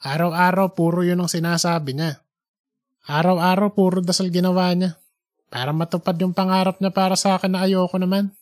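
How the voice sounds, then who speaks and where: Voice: medium pitch, flat delivery, brisk, close to an everyday voice. Audiobook role read by the narrator: grown man, frustrated son, aggrieved, questioning, confiding, mid register. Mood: hurt, frustrated. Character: hurried, troubled grown son, hurt by father's expectations.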